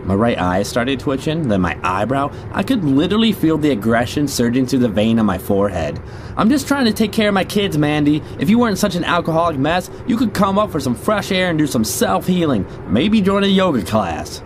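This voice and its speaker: A man's voice: a little high, big pitch swings, fairly quick. A middle-aged man playing a jaded rideshare driver, narrating a scripted audio drama in a conversational, wry, confiding way. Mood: irritated, tense, then exasperated. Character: jaded male rideshare driver telling creepy stories. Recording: noisy, car and street ambience behind.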